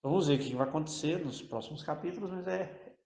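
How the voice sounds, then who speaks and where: A man's voice: on the high side, brisk, gravelly, a little mellow. A man giving a casual news summary as a podcast co-host and wrapping up informally. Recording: echoey room.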